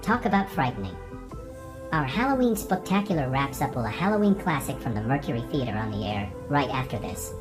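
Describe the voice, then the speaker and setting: A woman's voice: a bass voice, big pitch swings, a little fast, music in the background. A woman hosting a programme of classic radio dramas, reading a scripted introduction. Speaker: host of a Halloween radio show marathon.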